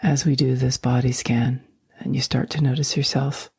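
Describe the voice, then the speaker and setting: A woman guiding a sleep meditation, speaking slowly and softly, often in a near whisper. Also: very deep voice, little pitch movement, vocal fry, slightly rough, no echo, clean studio sound. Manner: slow, soft and soothing, often whispered. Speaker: meditation guide.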